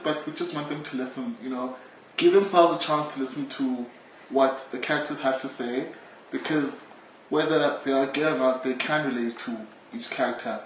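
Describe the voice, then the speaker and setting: A man with a South African accent talking casually as an interviewee. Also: on the high side. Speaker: interviewee, audio drama creator. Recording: echoey room, distant mic, faint noise.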